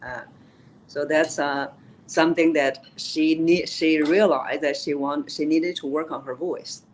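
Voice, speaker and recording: A woman's voice: low voice, steady tone, unhurried, slight background noise, low-quality recording. Woman voice coach explaining technique. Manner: instructive, demonstrates voices while teaching. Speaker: voice coach and keynote speaker. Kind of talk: explaining vocal technique in a live interview.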